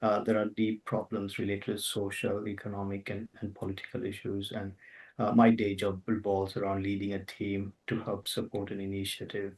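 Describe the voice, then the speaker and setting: Low gravelly-voiced middle-aged man, set back from the mic. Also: monotone, moderate pace, darkish tone, far from the mic, rough recording. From Zambia. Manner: hesitant, many restarts and fillers. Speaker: interview guest, author. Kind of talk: conversational interview answers.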